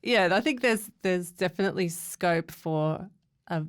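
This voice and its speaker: A woman with a low-set, smooth, resonant voice explains teaching ideas in a relaxed, thoughtful way. She talks through her thinking as she goes. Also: measured pace. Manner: thoughtful, explanatory, laughs often. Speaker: English teacher. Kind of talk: casual podcast chat.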